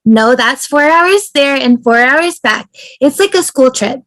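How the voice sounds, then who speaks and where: A woman's voice: sits high, expressive, a little slow, a bit bright, dry recording, full character voice. A woman playing a blunt, teasing friend in a fan table read. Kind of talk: fan table read over video call.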